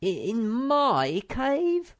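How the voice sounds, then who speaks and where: Lady doing a squeaky little guy, all excited and boastful, pitched way up. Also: very slow, exaggerated voice. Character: small excitable boastful male creature Sniff. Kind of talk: audiobook character voice.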